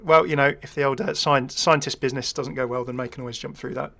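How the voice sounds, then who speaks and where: Guy hosting a gaming vid, chatty and kinda rambly, middling pitch, just talking casually. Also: steady tone, fast, creaky, pushed and bright. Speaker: gaming video host. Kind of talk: casual game commentary.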